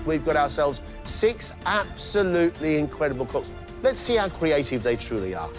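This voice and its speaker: A man's voice: a little high, sing-song, normal speed. A man presenting and judging a cooking competition in an upbeat, announcing manner. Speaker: judge. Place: TV studio kitchen. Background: background music.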